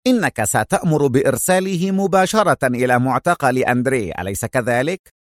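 A man's voice: mid-range voice, animated, brisk, no echo, clean studio sound, lightly acted. Casting: jittery male villain's associate voiced by a male reader, mid register, eager and insistent, audiobook thriller. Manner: agitated, insistent. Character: eager, nervous, anxious man, villain's associate. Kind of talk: dramatized audiobook character voice.

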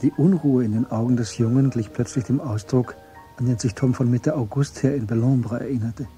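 A man's voice: mid-range voice, fairly quick, dark tone, soft background music. A man reading scripted literary narration as the narrator of a German radio drama.

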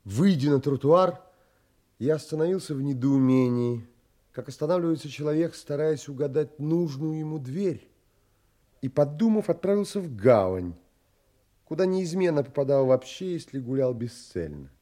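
Man acting dreamy troubled gentleman, audio play narrator, mellow, lively intonation, measured dramatic phrasing. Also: on the high side, lightly acted. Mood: pensive, wistful. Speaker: narrator and lead actor.

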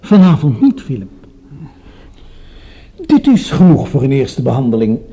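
An actor playing a smooth-mannered, condescending psychiatrist with quiet menace in a radio drama. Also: male voice, mid-range voice, big pitch swings, measured pace, exaggerated voice. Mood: smug, amused. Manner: patronising, pseudo-clinical, insinuating. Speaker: psychiatrist character.

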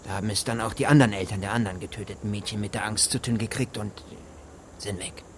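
An actor playing a gruff, evasive rural gamekeeper in a German radio drama, speaking in clipped, offhand colloquial phrases. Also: male voice, on the low side, fairly even intonation, fast talker, slight background noise, lightly acted. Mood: blunt, callous.

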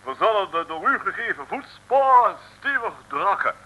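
An actor playing a fawning, excitable official interviewer, pompous and effusive, with a husky voice, in a 1950s radio comedy. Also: male voice, sits high, normal speed, heavily acted, poor audio. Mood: pompous gratitude.

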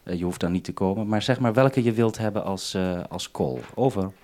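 A man with a fairly low voice hosts a two-way radio exchange. He talks off the cuff, haltingly, often breaking off and restarting his sentences as he feels for the wording. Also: a little mellow. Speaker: radio programme maker and host. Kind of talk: unscripted two-way radio conversation.

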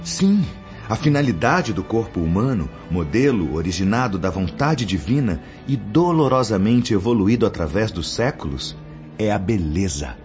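Actor as a solemn deep-voiced spirit teacher. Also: male voice, big pitch swings, a little mellow. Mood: solemn, reverent. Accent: Brazilian. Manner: reverent, declamatory, measured. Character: Brother Clemente, solemn male spirit teacher instructing pupils. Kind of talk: Brazilian radio drama acting. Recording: dull lo-fi audio under music.

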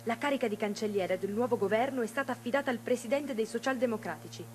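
A woman's voice: on the high side, slight background noise, natural voice. A woman playing an urgent, loyal revolutionary comrade bringing news in a theatrical radio drama. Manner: urgent, reporting briskly.

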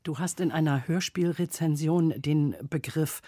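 A man presenting a radio programme in a scripted, measured announcing style and putting questions to a guest. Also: on the high side, fairly even intonation, warm tone, no echo, studio quality. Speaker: radio host. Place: dry broadcast studio. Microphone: close studio microphone.

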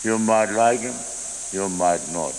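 Very deep slow older man, hissy tape. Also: darkish tone, in a large hall, poor audio. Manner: halting, plain English with short phrases. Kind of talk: slow recorded testimony.

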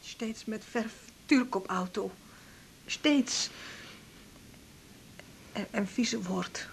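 An actress playing an anxious Turkish immigrant wife in a radio drama, with a Turkish accent, speaking hushed, broken Dutch in short fragments. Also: female voice, medium pitch, extremely slow, gravelly, a little hiss or hum. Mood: fearful, distressed.

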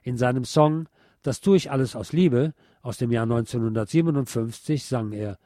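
A man's voice: medium pitch, moderate pace, warm tone, dry recording, clean studio sound. A man reading a scripted documentary narration as a radio narrator.

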